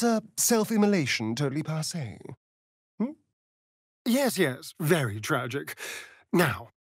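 Casting: flamboyant vampire rogue for a fantasy game. Arch and sardonic, breathy, unhurried drawl. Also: male voice, a little high, no echo, clean studio sound, exaggerated voice. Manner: theatrical, arch, drawling delivery. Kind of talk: video game voice acting.

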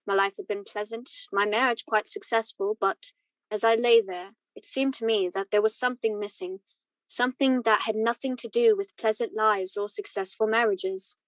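A woman's voice: mid-range voice, steady tone, dry recording, lightly acted, poor audio. Woman as a wistful man reminiscing, dry sound. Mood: wistful, reflective. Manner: reflective, literary, measured. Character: wistful married man recalling his youth. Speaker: student actor. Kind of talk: radio drama narration.

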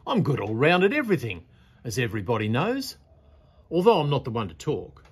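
A man's voice: mid-range voice, wildly swooping pitch, a little fast, husky. A man voicing a cocky, boastful bushman with a pompous, bragging manner in a storybook poem.

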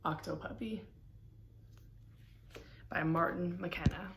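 A young woman reads a children's story at a very unhurried pace. Her voice sits a little low with noticeable creak, and her delivery is deliberate and expressive. It is a home recording with faint noise behind her. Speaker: storybook reader. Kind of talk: children's picture book read-aloud. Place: small room at home, indoors.

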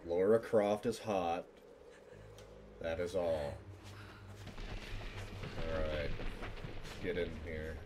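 A man's voice: low voice, drawn-out words, pauses often, a little mellow, a little hiss or hum. A man giving casual, joking, sometimes profane reaction commentary as a game streamer. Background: game music.

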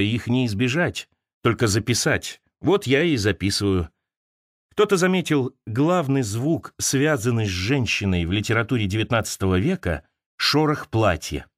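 A man reads literary prose as an audiobook narrator. His mid-pitched voice moves through a wide, lively range of intonation. The sound is dry, with very little room around it. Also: dry recording. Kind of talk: literary essay read aloud, scripted narration.

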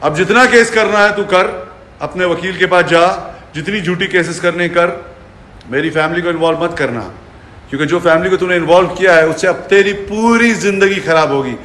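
A man's voice: high-pitched, moderate pace, a bit bright. A man in an angry, taunting, sweary rant to his phone camera, recorded in an echoey living room. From India. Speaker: fitness personality. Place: furnished living room indoors. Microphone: phone at arm's length.

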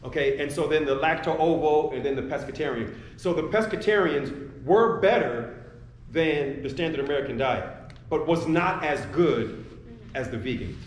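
A man's voice: on the high side, moderate pace, a little hiss or hum. A man on a panel, answering questions in a rambling way and repeating his words. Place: retail sports store, indoors. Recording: echoey store room.

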